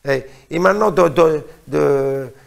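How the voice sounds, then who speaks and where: A man's voice: fairly high pitch, measured pace, creaky. A middle-aged man from Morocco, a singer, talks in a casual interview, hesitant, often repeating words as he searches for phrases.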